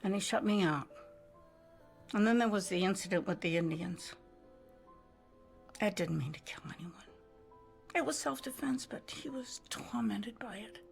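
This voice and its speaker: An actress playing a weary, haunted older American widow in a game cutscene, telling her story wistfully in a husky voice that often drops to a breathy hush. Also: medium pitch, lively intonation, soft background music. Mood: brooding. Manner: slow-burning, wistful storytelling. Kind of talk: dramatic game cutscene monologue.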